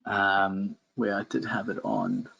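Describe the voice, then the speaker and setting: Low-voiced South African man presenting. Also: very animated, slow pace, creaky, pushed and bright, slightly rough, lo-fi sound. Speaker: webinar presenter, trading instructor. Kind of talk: informal live tutorial.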